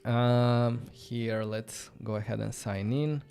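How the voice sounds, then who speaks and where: A man's voice: mid-range voice, steady tone, takes it slowly, creaky, darkish tone, smooth. A man with a Romanian accent, a non-native English speaker, hosting a casual live-coding stream and thinking aloud in a loose, chatty way full of fillers. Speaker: host of a coding livestream. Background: faint background music.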